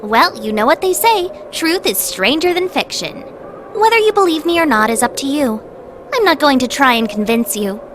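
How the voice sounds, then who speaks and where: A woman voicing a sassy female cat familiar in a video game, glib, expressive and quick to take offense. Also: sits high, a little hiss or hum. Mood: breezy, matter-of-fact.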